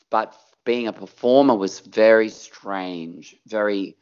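A man's voice: deep voice, muffled recording. A middle-aged Australian man, a performer, talking reflectively in an interview and stretching words for emphasis.

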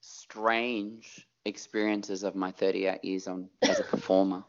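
A man's voice: deep voice, little pitch movement, measured pace, dull audio. A middle-aged Australian man, a performer, talking reflectively in an interview and stretching words for emphasis.

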